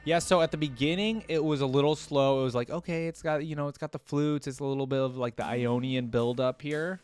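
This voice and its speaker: A man's voice: a little high, fairly even intonation, a little fast, darkish tone. A man giving casual, informal reaction commentary as a video host, thinking aloud with plenty of filler words. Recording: music playing behind the voice.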